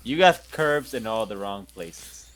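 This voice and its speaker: A man's voice: fairly low pitch, animated, a little slow, fairly bright tone, slight background noise, poor audio. A man playing a tabletop roleplaying game, switching between in-character talk and bossy, teasing banter.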